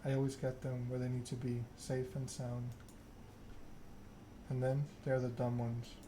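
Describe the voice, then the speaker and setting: Man playing suave male vampire enforcer for a story game: calm threat, bored monotone, noisy ambient backdrop. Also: mid-range voice, a little slow, natural voice. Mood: calm menace. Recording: clear background ambience noise.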